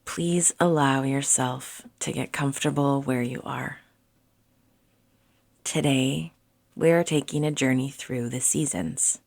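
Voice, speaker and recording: A woman leading a soothing guided meditation in a hushed, gentle way with deliberate pauses and soft phrase endings. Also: a bass voice, steady tone, vocal fry.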